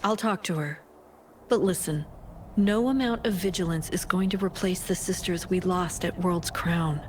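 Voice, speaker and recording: A woman playing a weary, patient warrior in a video game, speaking in a measured, subdued and gentle way. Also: lowish voice, a little hiss or hum, lightly acted. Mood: gentle, concerned. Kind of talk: dramatic video game voice acting. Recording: music underneath the voice. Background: game music.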